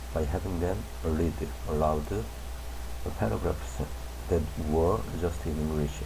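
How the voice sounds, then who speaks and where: A man whose first language is Korean reading an English news article aloud slowly and carefully, sometimes restarting a tricky word. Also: sits low, background noise.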